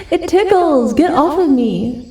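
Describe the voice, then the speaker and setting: High, echoing giantess wolf girl, acted by a woman. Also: vocal fry, mellow, heavily acted. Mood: playful, affectionate. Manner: slow, hesitant, stammering when flustered. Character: shy, flustered giantess wolf girl with a tail, in love. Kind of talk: ASMR roleplay acting. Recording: heavily echoing, distant-sounding voice.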